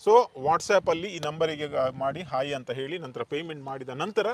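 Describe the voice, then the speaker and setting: A man's voice: a little high, unhurried. A man hosting an interview with a coastal Karnataka accent, putting questions and summing up points for viewers. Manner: repeats and sums up answers. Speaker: talk show host.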